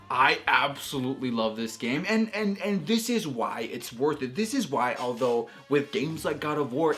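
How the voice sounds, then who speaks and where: A young man giving casual, emphatic video-essay commentary on games, with slangy phrasing and drawn-out stressed words. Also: a little high, a bit bright. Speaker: video game reviewer, host. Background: background music.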